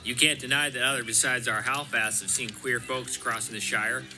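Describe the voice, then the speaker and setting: A man's voice: medium pitch, steady tone, normal speed. A man playing an earnest, credulous country hobbit gardener in an audio drama, speaking in a folksy, wondering way. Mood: insistent. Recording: loud music behind.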